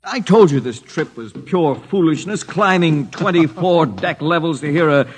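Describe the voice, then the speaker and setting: An actor playing a young, skeptical companion who grumbles and exclaims, in a noisy vintage radio drama. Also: male voice, a little high, expressive, normal speed, lightly acted. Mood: irritated, scornful. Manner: complaining, exclamatory. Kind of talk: 1950s radio drama acting.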